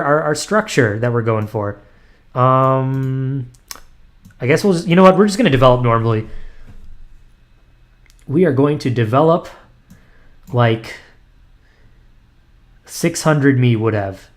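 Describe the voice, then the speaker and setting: A young man at a medium pitch talks through an online chess game, half to himself. He trails off into ums, restarts his sentences and makes fun of his own play. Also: expressive, measured pace, long pauses. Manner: self-deprecating, muttering analysis with frequent ums and restarts. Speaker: chess streamer. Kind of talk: thinking aloud while playing blitz chess online.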